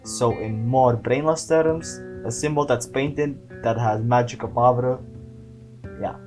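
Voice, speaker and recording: A man's voice: mid-range voice, takes it slowly, a little mellow, soft background music. A man giving casual, informal video commentary in a slangy, sweary way, as a creator talking through an analysis.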